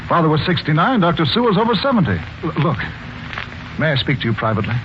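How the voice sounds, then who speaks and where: Courteous, very animated radio mystery gentleman. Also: a little high, noisy background. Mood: polite, probing. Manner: courteous, measured. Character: polite, thoughtful son of a murdered businessman. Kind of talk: 1940s radio mystery acting. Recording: muffled 1940s radio broadcast with music.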